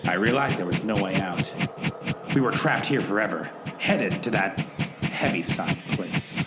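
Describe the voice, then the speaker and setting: A man playing an earnest amateur sleuth who narrates a comic radio play with deadpan drama. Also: on the low side, a little slow, lightly acted. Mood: dramatic, suspenseful. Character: earnest noir-style male amateur sleuth narrating his rescue mission. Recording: roomy echo with music underneath.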